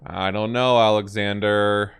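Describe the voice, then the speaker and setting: A man's voice: fairly low pitch, fairly even intonation, measured pace, clean tone. A man giving casual game reaction commentary, thinking aloud and talking back to the characters. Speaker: gaming video host reacting to cutscenes.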